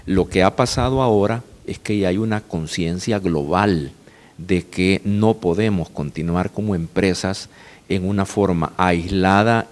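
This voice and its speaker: A man's voice: sits low, darkish tone. A man speaking as a business panelist, explaining his points to a forum. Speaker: panelist, company representative. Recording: long reverberant hall sound.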